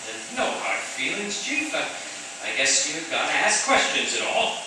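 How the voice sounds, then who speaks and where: A man's voice: a little high, big pitch swings, moderate pace. A man playing a shifty, cowardly working-class husband in a radio drama, blustering and stammering in slang. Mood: wheedling. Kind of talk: radio theatre acting. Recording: echoey room, distant mic, clear noise.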